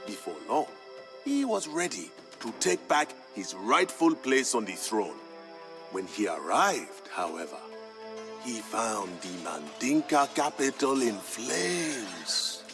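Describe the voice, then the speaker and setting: An actor playing a proud West African griot, a solemn royal bard narrating a game's story in a deliberate, grave, theatrical manner. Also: male voice, mid-range voice, big pitch swings. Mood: solemn, dramatic. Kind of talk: dramatic scripted storytelling narration for a game. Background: music.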